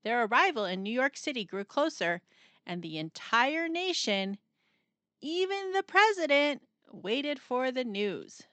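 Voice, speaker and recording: A woman narrating a children's picture book aloud in a dramatic, expressive storytelling manner with suspenseful pauses. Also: high-pitched, no echo.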